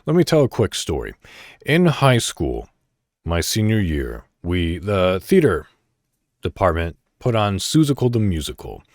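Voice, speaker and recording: A man speaks as the host of a solo podcast in a fairly low voice. He addresses listeners casually, moving through deliberate, segmented phrases. The sound is very dry, with the microphone close to him. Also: sing-song, a little mellow, dry recording.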